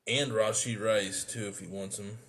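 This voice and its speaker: A man's voice: lowish voice, steady tone, normal speed, fairly bright tone, a little hiss or hum. A man co-hosting a fantasy football podcast, chatting casually and retelling moments from a live show. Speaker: podcast co-host.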